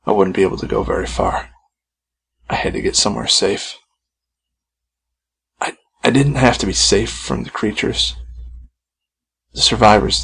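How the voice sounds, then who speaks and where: A man's voice: fairly low pitch, gravelly, fairly bright tone, husky. A man reading a scripted first-person horror story as its author and narrator.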